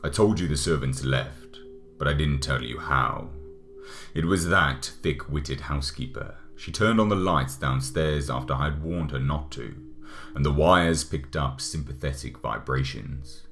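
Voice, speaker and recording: A man voicing an obsessed, menacing mad scientist in a gothic horror audiobook, intense, deliberate and grandiose. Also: rumbling low, fairly bright tone, heavily acted. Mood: hushed warning. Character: obsessed, menacing male mad scientist, gloating over forbidden discovery. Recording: music under the voice.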